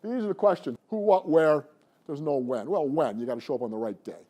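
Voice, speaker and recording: A middle-aged man coaching public speaking, talking to a class in an animated, conversational way. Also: on the high side, a little fast. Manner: animated and conversational, addressing the room. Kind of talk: presentation to a class.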